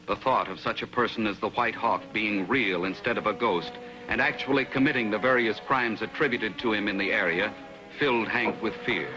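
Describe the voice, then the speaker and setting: A man giving grave, measured dramatic narration for a 1950s television adventure. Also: on the low side, lightly acted, rough recording. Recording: vintage TV soundtrack with prominent music.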